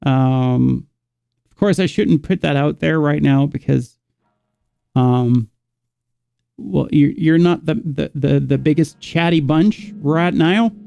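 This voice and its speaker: A man's voice: a little high, creaky, dark tone, clear, resonant tone, no echo, muffled recording. A man from the Netherlands, teaching a live guitar lesson and rambling as he thinks aloud, stopping to restart his sentences.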